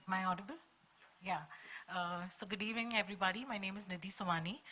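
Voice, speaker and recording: A woman trade advisor politely introducing herself on a panel, speaking in a measured way with some fillers. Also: mid-range voice, fairly even intonation, sharp tone, poor audio.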